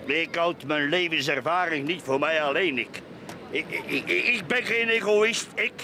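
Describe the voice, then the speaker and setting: A man's voice: on the high side, unhurried. An actor with a Flemish accent playing a bitter, boastful, drunken middle-aged man in a radio play. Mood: grumbling, boozy. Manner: rambling, repetitive, cackling, tipsy. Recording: radio play with clear outdoor background noise.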